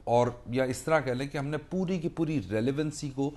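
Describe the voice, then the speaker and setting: A man's voice: mid-range voice, normal speed, a little mellow. A man with a Pakistani accent giving an explanatory legal lecture as a mentor, emphatic, repeating key phrases and mixing Urdu with English. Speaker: law teacher and mentor.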